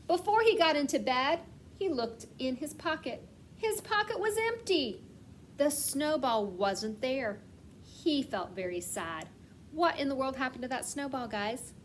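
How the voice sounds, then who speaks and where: A woman reading a picture book aloud like a kindergarten teacher, stressing words expressively and putting questions to the children. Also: sits high. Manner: asks questions and pauses as if children answer; expressive storytelling with slowed, emphasised words. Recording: clear background noise.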